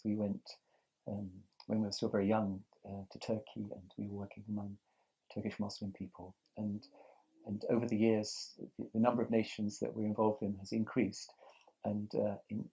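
Low gravelly older English man. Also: mellow, poor audio. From England. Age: 60s. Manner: thoughtful, halting, with many restarts. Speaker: interviewee. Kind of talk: reflective interview answers. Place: outdoors on a terrace by a lake.

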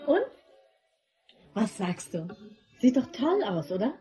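A breezy, cheeky female office colleague in a radio crime play. She is self-assured and teasing, with a fairly high, mellow voice and very animated intonation. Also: takes it slowly, slightly rough, faint music behind, heavily acted. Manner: playful, teasing. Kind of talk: German radio crime drama acting.

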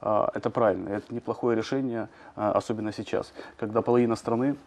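A man, a journalist, answering in a studio interview, talking quickly with many hesitations and fillers. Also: lowish voice, steady tone, a little mellow. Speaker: journalist guest.

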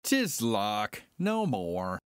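An actor playing a cocky, skeptical smuggler pilot, declaiming theatrically in a Shakespearean dramatic reading. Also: male voice, on the low side, huge pitch swings, drawn-out words, clear, resonant tone, no room sound, studio quality, full character voice. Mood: dry, dismissive.

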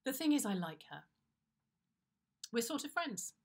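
A middle-aged British woman reading a comic first-person story in a conversational, wry and confiding way with expressive timing. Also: a little high, a little slow, breathy edge. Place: indoors, plain-walled room.

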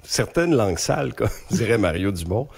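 A man in his thirties with a Quebec French accent and a congested nose, giving animated, colloquial radio commentary into a close mic. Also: fairly low pitch, normal speed, creaky, husky. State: congested from a respiratory infection. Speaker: radio columnist and host. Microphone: close studio microphone, remote video link.

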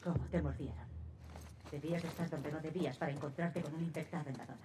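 A woman's voice: sits low, fairly even intonation, quick pace, lightly acted. A woman playing a tough, decisive smuggler in a video game, commanding and no-nonsense, with a Castilian Spanish accent. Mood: questioning, skeptical. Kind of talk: dramatic video game voice acting.

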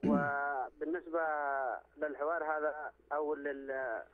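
A man's voice: fairly high pitch, fairly even intonation, measured pace. Man ranting down a tinny phone line. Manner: insistent, repeats phrases, many restarts. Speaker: phone-in caller. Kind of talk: political opinion on a call-in show.

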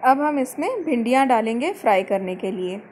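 High voiced woman talking thru a home cooking recipe, calm and clear, a bit of faint room noise. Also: moderate pace. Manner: calm, instructive, mixes English words into Hindi. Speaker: cooking channel host. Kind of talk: step-by-step cooking voice-over. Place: home kitchen.

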